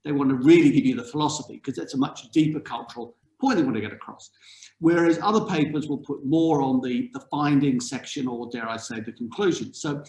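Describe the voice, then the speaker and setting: A man from England chairs an academic panel over a video call. He talks in a relaxed, reflective, conversational way. His voice sits at a middle pitch and echoes in the room. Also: fairly quick. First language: English. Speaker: panel chair, journal editor.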